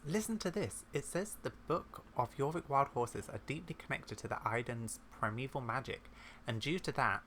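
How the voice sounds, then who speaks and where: A man's voice: mid-range voice, pushed and bright. Man reading game dialogue aloud, noisy recording. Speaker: gaming video creator. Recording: noticeable background noise.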